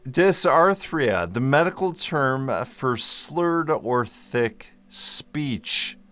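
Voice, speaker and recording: A man's voice: mid-range voice, lively intonation, drawn-out words, a bit bright, clean tone. A man vlogging to camera in a casual, easygoing way, explaining a topic and correcting himself as he goes, speaking into a microphone. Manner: easygoing, self-correcting, joking aside. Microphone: microphone in front of him.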